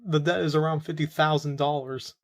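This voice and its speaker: A man's voice: on the high side, steady tone, a little fast, lightly acted. A man playing a young captive forced into gang work in an audio drama, earnest, protesting and defiant. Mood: guarded, defiant. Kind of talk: amateur audio drama roleplay.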